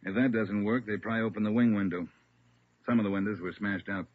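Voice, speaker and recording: Man as a low, grim police captain. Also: steady tone, fairly quick, phone-quality sound, natural voice. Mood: grim, brisk. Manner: authoritative, clipped, factual. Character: brisk, grim male police captain giving orders. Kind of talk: vintage radio police drama. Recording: thin, hissy old radio recording.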